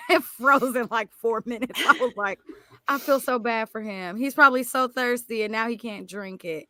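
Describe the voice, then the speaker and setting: A woman's voice: a little high, moderate pace, no room sound, clean studio sound. A woman co-hosting a casual podcast chat, telling stories with animation and lots of fillers. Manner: animated storytelling, many fillers. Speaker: podcast co-host.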